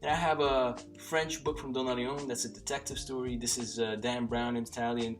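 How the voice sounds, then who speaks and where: A man's voice: mid-range voice, flat delivery, fairly quick, soft background music. Chatty Slovak-native man by his bookshelf. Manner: chatty, rambling, with many fillers and restarts. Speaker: polyglot vlogger. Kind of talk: casual talk to camera. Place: small room by a bookshelf lit by a lamp.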